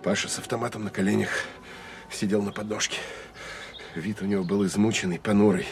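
Give a low, measured male voice from an actor as a weary, self-critical Soviet counterintelligence officer in a radio play. He narrates in terse, introspective phrases. There is faint hiss and clicking on the recording. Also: steady tone, a little mellow, close to an everyday voice. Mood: measured, tense. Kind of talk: Russian audio drama first-person narration.

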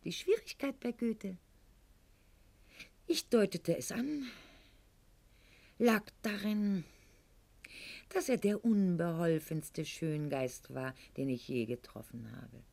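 An actress playing a proud, sharp-witted aristocratic lady in a radio play monologue, speaking with haughty irony and elaborate eloquence. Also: lowish voice, expressive, slow, vocal fry, long pauses, exaggerated voice. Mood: cool, haughty.

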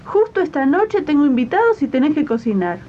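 A woman's voice: big pitch swings, a little fast. A woman playing a bossy, exploitative mistress of the house in a radio drama, scolding and impatient. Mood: dismissive. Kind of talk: 1980s community radio drama acting. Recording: dull, noisy archival tape with rumble.

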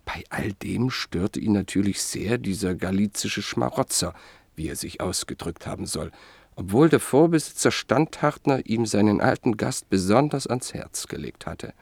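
A man narrating a radio play in a measured, solemn manner. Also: lowish voice, expressive, vocal fry, a little mellow, breathy edge, dry recording.

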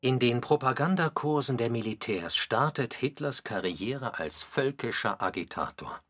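A man's voice: mid-range voice, expressive, moderate pace, fairly bright tone, husky. A man reading scripted narration for a radio documentary drama.

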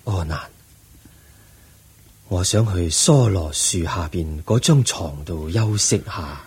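An actor playing a serene, compassionate old Buddha in a radio drama, speaking gently and solemnly with measured delivery. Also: male voice, sits low, expressive, husky, full character voice. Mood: gentle, weary. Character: serene, compassionate old male Buddha giving final teachings. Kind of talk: Cantonese radio drama acting.

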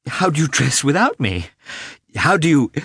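A man voicing a tender, overwrought mother in an audiobook, speaking in an emotional, halting way. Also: a little high, sing-song, fairly bright tone, no echo, clean studio sound, pitched above the performer's own voice, heavily acted. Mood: forced cheer. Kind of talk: audiobook character voice.

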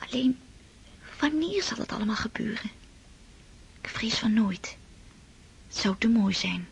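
A woman's voice: fairly high pitch, lively intonation, unhurried, vocal fry, husky, exaggerated voice. A whispering wistful girl. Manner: hushed whispering. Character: young girl, wistful and sweet. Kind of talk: radio drama acting.

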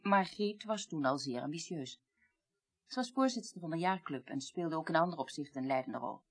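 A weary, well-read woman of middle age, with a rather low voice. She recounts the past in careful, articulate sentences, pausing for weight as in an eighties radio play. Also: animated. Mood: reflective. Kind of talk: 1980s radio play acting.

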